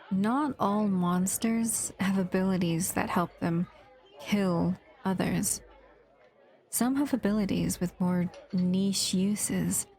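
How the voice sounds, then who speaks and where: A woman acting a protective, wistful monster who escaped a lab, speaking gently to the listener in an audio roleplay. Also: on the low side.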